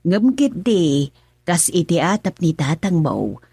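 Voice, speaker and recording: A woman's voice: fairly low pitch, sing-song, slow, vocal fry, warm tone, slightly rough, no echo, heavily acted. An actress playing a caring middle-aged mother in a radio drama, soothing and motherly, with an Ilocano accent. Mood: comforting.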